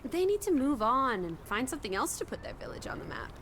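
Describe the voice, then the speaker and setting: High, lively, teasing young companion. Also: female voice, fast, slight background noise, full character voice. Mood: curious, pressing. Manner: breezy, wry, mock-dramatic. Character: sociable, teasing, playful young female travel companion. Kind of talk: video game voice acting.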